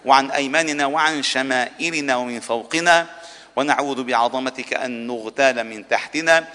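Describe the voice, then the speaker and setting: A man's voice: mid-range voice, brisk, sharp tone. A man delivering a solemn sermon and supplication as a mosque preacher, with a formal, rhetorical cadence. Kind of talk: Arabic Friday sermon and supplication. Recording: long echoing reverb of a large hall.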